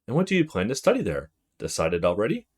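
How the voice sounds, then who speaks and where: A man's voice: lowish voice, huge pitch swings, quick pace, breathy edge, exaggerated voice. A man voicing a friendly, coy young student woman in a visual novel, with casual, teasing delivery. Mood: casual, curious.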